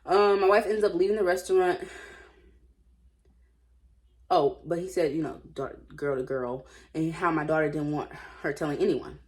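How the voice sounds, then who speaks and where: A woman's voice: low-pitched, fairly even intonation, a little fast, a little mellow. A woman reading stories aloud casually, breaking off for playful, slangy, off-the-cuff reactions. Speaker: host reading Reddit stories. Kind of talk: casual read-aloud with chatty off-the-cuff commentary.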